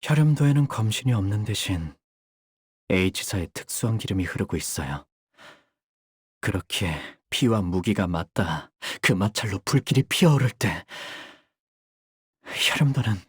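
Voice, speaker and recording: An actor playing a young, bird-like warrior in a game, polite and formal in speech yet bloodthirsty, with theatrical delivery. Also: male voice, fairly low pitch, lively intonation, a little slow, long pauses, breathy edge, no room sound, clean studio sound, heavily acted. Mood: fierce, intense. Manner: polite, formal speech breaking into fierce intensity. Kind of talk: Korean game character voice lines, theatrical.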